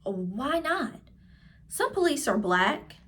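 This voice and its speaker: A woman's voice: sits high, sing-song, takes it slowly, fairly bright tone, pitched above the performer's own voice, exaggerated voice. A woman voicing a curious, puzzled young boy in a storybook, earnest and questioning.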